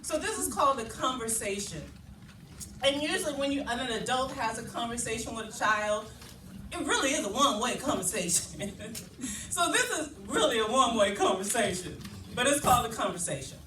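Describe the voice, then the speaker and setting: A woman spoken word poet with a loud voice performing live, rhythmic and emphatic, slowing down to lean on key phrases. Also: fairly high pitch, lively intonation, a little hiss or hum. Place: event hall at a labor office. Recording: echoey hall, voice heard from a distance.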